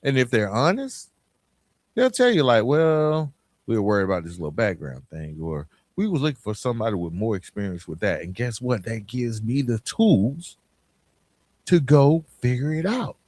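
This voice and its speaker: A man's voice: medium pitch, big pitch swings, moderate pace, a little mellow, dry recording. A middle-aged American man giving a motivational solo podcast talk, speaking colloquially and directly to listeners with emphatic repetition and rhetorical questions. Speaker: podcast host.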